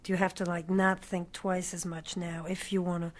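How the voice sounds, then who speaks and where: A woman's voice: fairly low pitch, flat delivery, moderate pace. Chatty woman interviewer. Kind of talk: casual interview chat.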